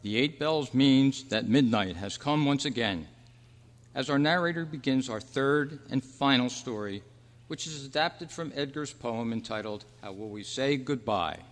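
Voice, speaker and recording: A man acting in a staged radio drama, with earnest, measured storytelling. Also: mid-range voice, lightly acted. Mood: announcing. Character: Roy, dead thirty-three-year-old man's wistful, peaceful spirit. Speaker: actor and announcer. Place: live club meeting in a hall. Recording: echoey hall with long reverb.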